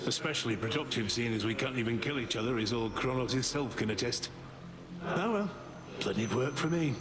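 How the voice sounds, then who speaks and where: A man's voice: medium pitch, expressive, a little fast, a crowd in the background, close to an everyday voice. A man playing a cheerfully violent war god in a video game, sardonic and relishing every line. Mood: sardonic, gleeful. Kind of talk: scripted video game dialogue.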